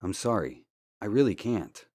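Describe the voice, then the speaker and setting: Low-voiced, earnest investigator. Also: male voice, very animated, a little mellow. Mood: guarded, courteous. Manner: courteous, measured. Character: polite, earnest amateur investigator, a determined man. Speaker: voice actor. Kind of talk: scripted point-and-click game dialogue. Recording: music under the dialogue. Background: background music.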